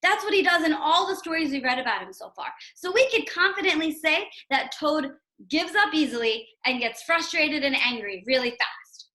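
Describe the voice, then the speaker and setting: A woman's voice: sits high, animated, moderate pace, hardly any pauses, bright, forceful tone. A woman teacher giving a read-aloud lesson for young children, instructive and emphatic, often checking in with a questioning tag. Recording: roomy, echoing sound.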